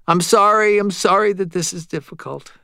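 A man's voice: sits high, fairly even intonation, normal speed, creaky, sharp tone, smooth, no room sound, clean studio sound, voice pushed up, exaggerated voice. A man voicing a nervous, put-upon male medium in an audiobook, with a pleading, hesitant, apologetic manner.

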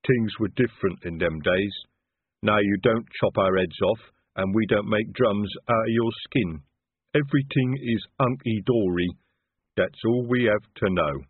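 Calm troll, male reader; slow, plain speech, dry phone-like audio. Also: lowish voice, husky, dry recording. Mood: steady. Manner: unhurried, plain working-class speech.

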